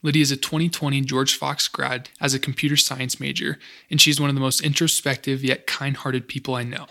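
A man's voice: medium pitch, little pitch movement, brisk, vocal fry, bright, forceful tone. A young man in his twenties hosting a podcast, reading an upbeat intro and then asking casual interview questions. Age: 20s. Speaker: podcast host.

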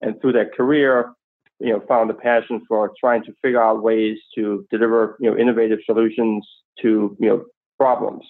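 A man's voice: fairly low pitch, flat delivery, normal speed. A Danish-accented man speaks as a podcast guest, easy and fluent, padding his thoughts with "you know". His voice arrives narrow and tinny, like a phone line. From Denmark. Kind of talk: podcast interview.

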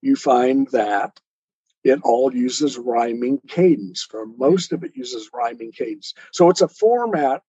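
Husky, mellow man talking on a podcast. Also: medium pitch, animated, unhurried, no room sound. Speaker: guest author and poet. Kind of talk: reflective podcast interview talk. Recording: dull, muffled lo-fi audio.

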